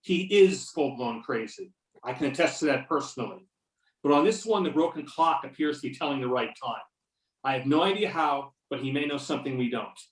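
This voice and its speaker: A man's voice: medium pitch, animated, a little fast, close to an everyday voice. A man playing a determined investigator in readers theater, matter-of-fact and commanding, over a remote call. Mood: insistent. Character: Beckett, determined male investigator giving orders. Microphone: remote videoconference or phone call. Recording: roomy, distant mic on conference call.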